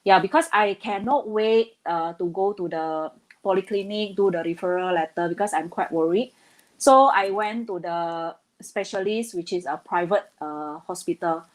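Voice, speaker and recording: A woman from Indonesia in her thirties talks as a guest in a casual interview. She speaks earnestly but haltingly, pausing often and filling gaps as she searches for words. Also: on the low side, low-quality recording. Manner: hesitant, many fillers, earnest.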